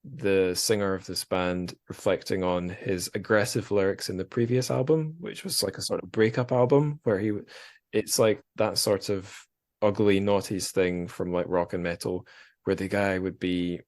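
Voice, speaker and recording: A man's voice: low-pitched, steady tone, normal speed, a little mellow. A man in his thirties hosting a casual podcast, talking in an informal, reflective way with some swearing. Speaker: podcast host.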